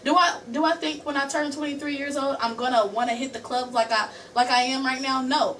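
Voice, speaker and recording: A woman's voice: high-pitched, steady tone, a little fast, a bit bright, distant mic. A twenty-one-year-old woman co-hosting a podcast, chatting casually in a rambling way as she explains herself. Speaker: podcast co-host. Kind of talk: casual chat. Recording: off-mic, faint room noise.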